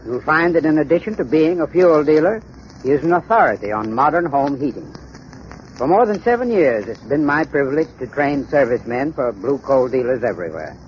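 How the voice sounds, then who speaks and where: A man's voice: mid-range voice, big pitch swings, fairly quick. A man giving a formal, courteous, scripted advertising talk as an expert on 1930s radio. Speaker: sponsor's heating expert. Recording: dull, hissy 1930s radio recording.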